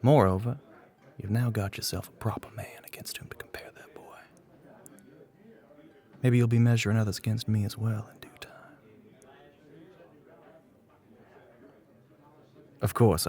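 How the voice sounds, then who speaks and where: A man playing a smug, flirty, middle-aged bartender with a Southern US accent in an intimate ASMR roleplay, smooth-talking and often dropping toward a whisper. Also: fairly low pitch, fairly quick, warm tone. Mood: smug, reassuring. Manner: drawling, smooth-talking, pet names, often breathy near-whisper.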